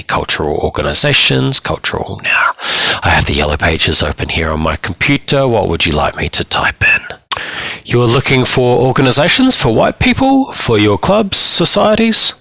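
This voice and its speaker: Man voicing a motherly, firm woman probation officer, husky. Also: low voice, bright, forceful tone, no echo, full character voice. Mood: brisk, patronising. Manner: calls the listener child, coaxing and lecturing. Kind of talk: dramatised short story reading.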